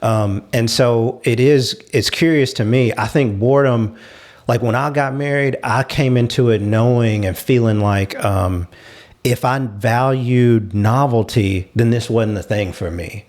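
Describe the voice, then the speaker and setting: A man's voice: medium pitch, measured pace, darkish tone. A man hosting a panel discussion, thinking aloud in an earnest, conversational way. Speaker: show host.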